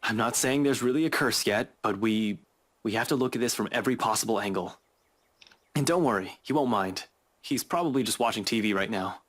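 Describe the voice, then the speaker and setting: An actor playing a shaken young male investigator in a game, halting yet insistent. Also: fairly low pitch, lightly acted. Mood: urgent, insistent. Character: shaken, evasive young male investigator, stubbornly holding together. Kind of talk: naturalistic game voice acting.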